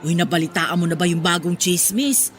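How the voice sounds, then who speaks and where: A woman's voice: on the low side, a little fast, close to an everyday voice. A woman playing a meddlesome, chatty middle-aged neighbor in a Filipino radio drama. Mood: gossipy. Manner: chatty, prying. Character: nosy gossiping older neighborhood woman, meddlesome but concerned. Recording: music behind the voice.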